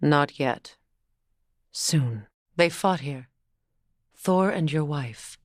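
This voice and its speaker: A woman's voice: low voice, lively intonation, extremely slow, no echo, full character voice. A proud Norse goddess from a fantasy video game. She is a middle-aged warrior and mother who carries grief. Her words are formal and earnest, in a close, dry recording. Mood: grave, measured. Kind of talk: dramatic game dialogue.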